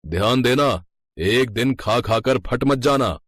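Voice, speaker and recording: A smug, scheming shopkeeper from a cartoon moral tale, acted by a man. He gloats and boasts in a medium-pitched voice, recorded close and dry. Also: takes it slowly, fairly bright tone, dry recording. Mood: mocking. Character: greedy, scheming, smug male village sweet-shop owner. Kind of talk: Hindi animated moral-story voice acting.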